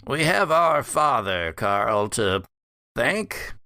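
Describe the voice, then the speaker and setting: Undead gothic patriarch, played by a man, sardonic, theatrical, taunting, slow gravelly delivery, tabletop horror game. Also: medium pitch, sing-song, bright, forceful tone, no room sound, clean studio sound, exaggerated voice. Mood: wry, gloating. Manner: theatrical, drawn-out, chuckling, taunting. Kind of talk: in-character tabletop roleplay.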